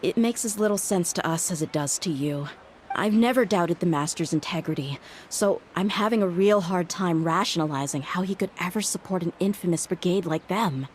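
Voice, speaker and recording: A woman's voice: on the low side, normal speed, slight background noise, natural voice. A woman playing a tough, loyal young mercenary bodyguard, frank and principled, in an anime-style video game. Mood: puzzled, candid. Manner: frank, firm. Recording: music behind the voice.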